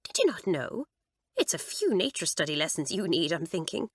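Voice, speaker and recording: A woman's voice: on the low side, very animated, moderate pace, breathy edge, no room sound, clean studio sound, full character voice. A woman narrator voicing a cheerful, cheeky Irish schoolgirl with a lilting Irish accent.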